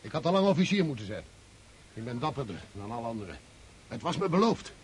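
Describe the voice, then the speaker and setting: An actor in a radio drama playing an insistent, boastful sergeant who has deserted and complains. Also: male voice, medium pitch, big pitch swings, fast, darkish tone, a little hiss or hum, lightly acted. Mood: aggrieved. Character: aggrieved, boastful sergeant deserting the enemy army.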